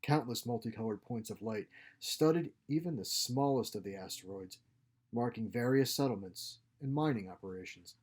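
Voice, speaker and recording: A man's voice: medium pitch, normal speed, darkish tone, husky. A man reading his own science fiction short story as scripted narration.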